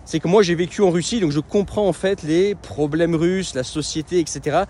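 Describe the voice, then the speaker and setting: A man's voice: a little high, a little fast, a little mellow, slight background noise. A man talking casually in a vlog, with lots of hesitations and restarts. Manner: conversational, many fillers and restarts. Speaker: web entrepreneur and coach.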